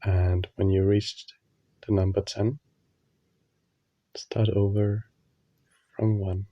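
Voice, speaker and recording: Slow, low-voiced man guiding meditation. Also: no echo. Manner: calm, soothing, slow with long pauses. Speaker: meditation guide. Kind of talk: guided meditation. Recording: dull, muffled lo-fi audio.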